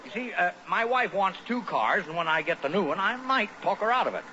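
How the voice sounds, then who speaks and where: An actor playing a genial, needling middle-aged neighbor husband in an old-time radio sitcom, wry and hearty. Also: male voice, sits high, sing-song, brisk, bright, forceful tone, full character voice. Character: genial, mocking neighbor husband, practical car owner. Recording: muffled, hissy old radio recording.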